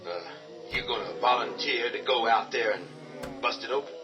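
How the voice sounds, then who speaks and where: A man's voice: mid-range voice, moderate pace, lo-fi sound, natural voice. An actor playing a tough, commanding man who leads survivors in a radio drama, speaking curtly with bursts of anger. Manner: curt, forceful, bursts of anger. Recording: distant voice with loud music.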